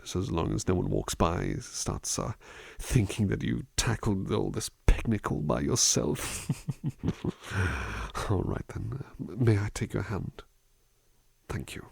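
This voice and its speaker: Man playing a low, gravelly, soft-spoken gentle butler. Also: expressive, slow, no room sound, heavily acted. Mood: kind, attentive. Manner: hesitant, musing, polite, trailing off. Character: gentle, attentive male butler reading poetry at a picnic. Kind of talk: ASMR roleplay, soft-spoken poetry reading and musing.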